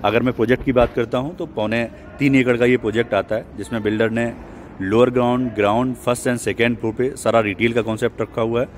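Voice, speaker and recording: A man with a voice at an ordinary pitch, walking outdoors through a building site while he pitches a property. He explains steadily and drops English terms into his sentences. A faint hum of traffic runs behind him. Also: level tone, quick pace. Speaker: real estate agent.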